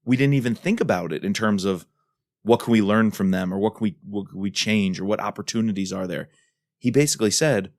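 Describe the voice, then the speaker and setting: A man with a fairly low voice co-hosts a podcast. He talks casually, with enthusiasm and emphasis, in a dry, close-sounding space. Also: no echo. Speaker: podcast co-host. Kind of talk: casual discussion.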